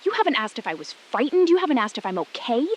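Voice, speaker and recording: A woman playing a resolute, shrewd astronaut in an audio drama, speaking in a controlled, deliberate way and repeating phrases for emphasis. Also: on the high side, very animated, slight background noise. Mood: accusing. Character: resolute, shrewd female astronaut exposing a cover-up. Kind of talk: scripted audio drama, hushed dramatic phone-call acting.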